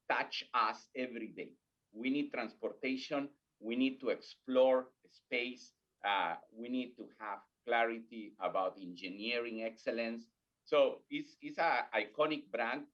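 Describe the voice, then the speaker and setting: This is a middle-aged man who grew up in Peru and speaks English with a Peruvian Spanish accent. He talks earnestly and steadily in a mid-range voice, with the occasional grammar slip of a native Spanish speaker. The audio is a little dull and lo-fi, as over a video call. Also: medium pitch. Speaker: guest, corporate learning executive. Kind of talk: podcast interview.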